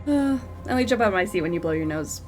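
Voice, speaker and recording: A woman's voice: on the low side, expressive, quick pace, faint music behind. Stuffy-nosed woman streamer, giggly. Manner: chatty, giggly, reads in-game notes aloud. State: stuffed-up nose from a cold. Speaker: streamer playing a horror game. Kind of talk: casual live-stream commentary.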